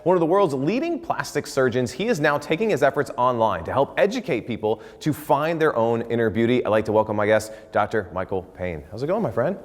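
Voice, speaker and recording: A man's voice: mid-range voice, sing-song, fairly quick, hardly any pauses, big-room sound. A man hosting an interview show, upbeat and enthusiastic. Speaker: talk show host.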